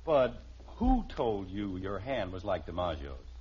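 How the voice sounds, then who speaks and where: A man's voice: low-pitched, sing-song, unhurried, darkish tone, slightly rough, exaggerated voice. An actor playing an exasperated, dryly sarcastic middle-aged American father in a 1950s radio sitcom. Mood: irritated. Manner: dry sarcasm, clipped demanding questions. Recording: dull, noisy old radio recording.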